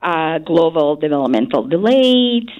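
A woman with a Chilean Spanish accent answers on a radio interview. Her voice sits a little low, and she speaks haltingly, with many pauses and fillers as she looks for the right words. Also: very animated, dull audio. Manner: hesitant, searching for words, frequent um and uh.

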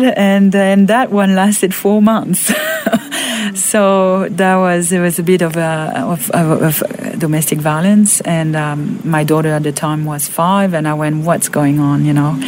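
A woman's voice: sits low, darkish tone, slight background noise. French-accented woman telling her life story. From Monaco. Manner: halting, many fillers and restarts, laughs often. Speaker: guest. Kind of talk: personal testimony interview.

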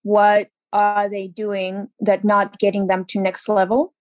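Woman guest, lively and chatty. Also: medium pitch, measured pace, no echo. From Ukraine. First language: Ukrainian. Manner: lively, chatty, laughs easily. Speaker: guest, actress. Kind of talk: casual interview talk.

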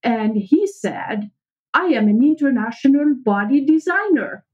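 A woman's voice: high-pitched, lively intonation, measured pace, darkish tone, highs cut off. A woman from French-speaking Switzerland, a native French speaker with a French accent, telling her story earnestly as a podcast guest.

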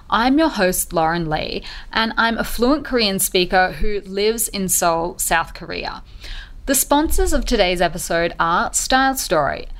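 A woman hosting a podcast, explaining skincare methods in an upbeat, chatty way. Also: on the low side. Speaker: podcast host. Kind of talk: podcast monologue.

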